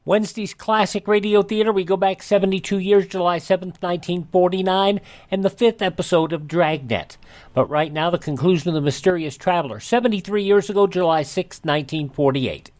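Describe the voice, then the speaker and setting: A man's voice: high-pitched, brisk, a little mellow. A man hosting a radio show, reading a scripted programme announcement.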